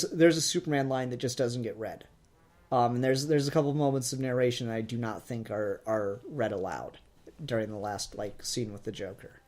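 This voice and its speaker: A man's voice: mid-range voice, a little mellow. A man co-hosting a podcast, talking casually and informally as he reviews. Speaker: podcast co-host reviewing fan films. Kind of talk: casual chat, review discussion.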